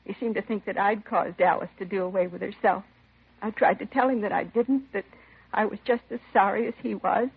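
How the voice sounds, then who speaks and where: A woman's voice: mid-range voice, steady tone, fairly quick. An actress playing a composed middle-aged mother of a slain son in a 1950s radio crime drama, answering plainly and with restraint. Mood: distressed. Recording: vintage 1950s radio, narrow husky sound.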